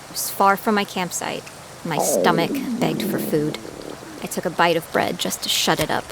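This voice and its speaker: A woman's voice: lowish voice, steady tone, moderate pace, creaky, very noisy. A woman playing a gritty young female survivor who tells her own story as scripted audio drama narration. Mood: reflective, measured. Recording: music bed behind the voice.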